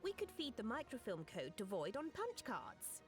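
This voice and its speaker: A woman's voice: a little high, wildly swooping pitch, moderate pace, faint music behind. A woman playing an earnest underling scientist in a video game, reporting in a precise, matter-of-fact way.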